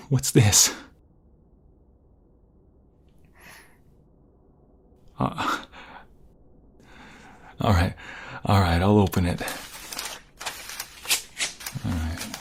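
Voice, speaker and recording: A man playing a tender, excitable husband and father-to-be in an intimate ASMR audio roleplay, halting and emotional, often dropping toward a whisper. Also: low-pitched, creaky, breathy edge, heavily acted. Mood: puzzled, hushed. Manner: halting, emotional, repeats himself. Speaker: voice-over roleplay performer.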